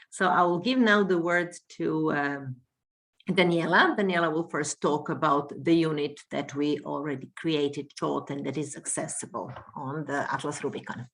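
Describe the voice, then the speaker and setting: Woman teacher presenting a workshop, lowish voice, halting with fillers. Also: a bit bright. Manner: hesitant, many fillers and restarts while explaining. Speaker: world languages teacher and home language coordinator.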